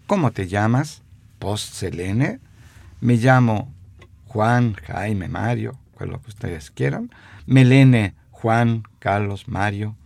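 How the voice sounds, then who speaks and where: A man giving a radio language lesson, repeating phrases slowly with hesitant fillers. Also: on the low side, vocal fry, darkish tone, husky, dry recording. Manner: slow, repeats phrases for dictation; stumbling fillers.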